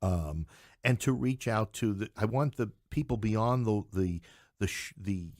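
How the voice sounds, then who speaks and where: A middle-aged man talks casually as an interview guest in a fairly low voice. He is opinionated and blunt, and the sound is close and dry. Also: measured pace, darkish tone, no echo. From New York City. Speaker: interview guest, playwright and English professor. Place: podcast studio, in person.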